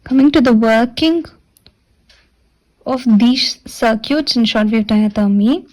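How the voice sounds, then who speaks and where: Woman lecturer, fairly high voice but soft-edged and mellow, explaining technical material step by step with deliberate repetition. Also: rough recording. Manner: repeats and restates points deliberately. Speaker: physiotherapy lecturer.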